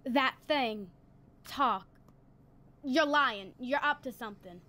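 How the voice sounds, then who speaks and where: A woman's voice: sits high, takes it slowly, bright, forceful tone, heavily acted. A woman playing a suspicious, folksy, commanding female sheriff in a 1940s-style radio drama. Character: gruff, suspicious armed female country sheriff.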